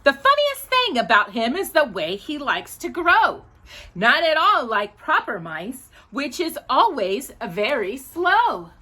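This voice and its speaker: A woman hosting a children's storytime, reading aloud in an animated, sing-song manner. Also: high voice, takes it slowly.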